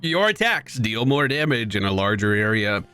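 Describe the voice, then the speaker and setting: A man's voice: on the low side, very animated, moderate pace, sharp tone, soft background music. A man hosting a gaming channel, giving upbeat, playful live commentary as he plays. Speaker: gaming host.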